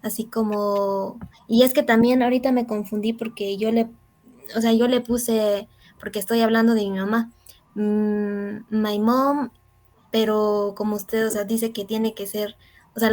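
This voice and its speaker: A woman's voice: a little high, steady tone, measured pace, darkish tone. A woman, a student asking for clarification in a casual, hesitant, self-correcting way.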